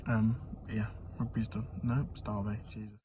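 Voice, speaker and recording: A man's voice: fairly low pitch, level tone, normal speed, noisy background. A 21-year-old man, talking candidly and rambling in a casual vlog diary while ill with a sore throat and sniffles. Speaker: vlogger.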